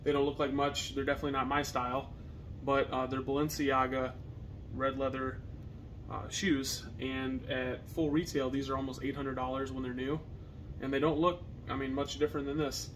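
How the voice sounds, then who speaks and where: A young man with an American accent chatting casually to camera as a reseller, with halting phrasing. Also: medium pitch, flat delivery, fairly bright tone. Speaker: reseller co-hosting a video channel. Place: partially finished basement, indoors. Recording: noisy basement room recording.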